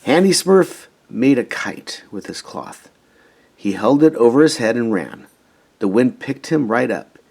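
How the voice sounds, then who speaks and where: A man reading a children's storybook aloud in an expressive, emphatic way. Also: mid-range voice, a little slow, gravelly, slightly rough. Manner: animated, emphatic, dramatizing story moments. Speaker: storybook reader.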